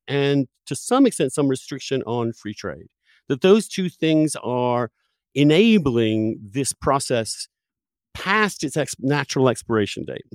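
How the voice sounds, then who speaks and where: A man's voice: mid-range voice, darkish tone, no room sound. A man hosting an intellectual interview, arguing his points emphatically in conversation. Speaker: interview host.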